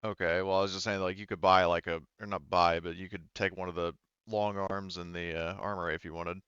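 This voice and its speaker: Male game master, low smooth voice, halting informal delivery, dry and slightly muffled recording. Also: level tone, fairly bright tone, no room sound. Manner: halting, informal, searching for words. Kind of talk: casual game narration at a tabletop session.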